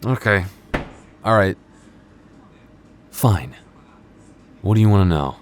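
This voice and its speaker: A man's voice: lowish voice, lively intonation, slow. A man voicing a young male vampire store coworker in an ASMR roleplay, flustered and teasing, with hesitant, sardonic banter. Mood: flustered, exasperated. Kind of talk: ASMR roleplay audio drama.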